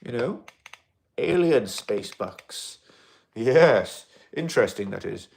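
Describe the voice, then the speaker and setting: A man in an alien costume, chatting casually on a livestream and answering viewer comments in a rambling, excitable way. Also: mid-range voice, slow, creaky, slight background noise. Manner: rambling and excitable, with many fillers and restarts; loud bursts of excitement between quieter asides.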